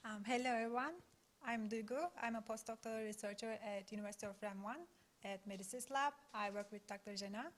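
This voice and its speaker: A woman from Turkey, a postdoctoral researcher, giving a conference talk in a measured, careful way with frequent pauses. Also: medium pitch, fairly even intonation, slight background noise. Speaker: invited speaker, postdoctoral researcher. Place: meetup room.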